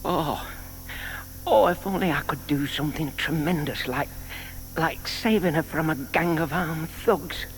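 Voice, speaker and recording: Timid old bloke in a radio play, lovesick, hesitant, highish voice, faint hiss. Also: male voice, a little slow, low-quality recording. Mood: dreamy heroics. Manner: hesitant, wistful, muttering to himself. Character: shy, lovelorn, lonely retired gentleman neighbour. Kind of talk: audio drama acting.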